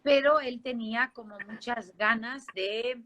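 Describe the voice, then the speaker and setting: Woman, Barcelona Spanish accent, high pitch, forward bright tone, informal personal storytelling, conversational tag questions. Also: unhurried, a little hiss or hum. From Spain, Barcelona. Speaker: interview guest telling her life story.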